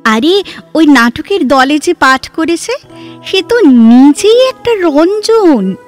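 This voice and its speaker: A woman playing an articulate, wistful, reflective woman in a literary audio drama, with measured, theatrical phrasing. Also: high voice, very animated, soft background music, full character voice.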